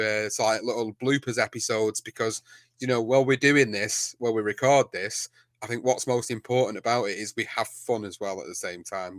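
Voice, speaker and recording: A man's voice: on the low side, a bit bright, dry recording. A man with a Manchester accent, a podcast co-host, talking casually in an interview with lots of fillers and restarts. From England. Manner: rambling, many fillers and restarts.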